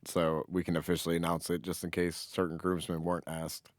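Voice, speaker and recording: Low-voiced man in casual podcast banter. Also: completely flat delivery, brisk, no room sound, clean studio sound. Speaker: podcast co-host. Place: small office.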